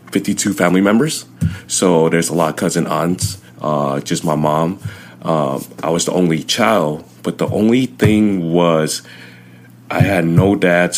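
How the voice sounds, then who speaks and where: A young man from Southern California whose first languages were Chinese and Vietnamese, telling his life story haltingly as an interview guest. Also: low voice, a little hiss or hum. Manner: plain, halting storytelling with many fillers. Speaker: guest, CrossFit coach.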